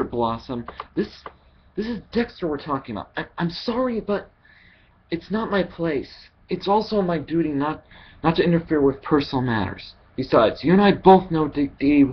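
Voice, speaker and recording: Young man, amateur voice acting, halting scripted read, dull headset recording, faint background noise. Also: a little high, very animated. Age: young adult. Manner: halting delivery with repeated words. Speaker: amateur voice actor. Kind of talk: reading scripted fandub lines. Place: wood-panelled living room at home. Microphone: headset microphone.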